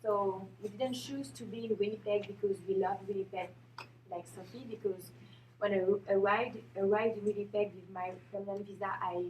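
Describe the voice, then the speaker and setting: A woman in her thirties, a native French speaker with a French accent, giving halting personal testimony at a community event and searching for English words. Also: mid-range voice, fairly even intonation, darkish tone, a little hiss or hum. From France. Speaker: panel speaker. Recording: echoey hall, speaker far from mic.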